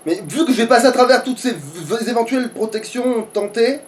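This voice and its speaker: A man's voice: very high voice, quick pace, soft background music. A man playing a game and chatting informally, swearing and trailing off mid-thought. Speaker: let's play player. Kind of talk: casual gaming chat.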